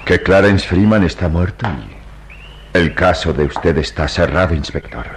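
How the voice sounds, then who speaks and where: An actor playing a calm, devout priest detective in a radio drama, speaking in a measured, solemn and sententious way. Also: male voice, deep voice, background noise. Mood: calm, firm. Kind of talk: old radio drama acting.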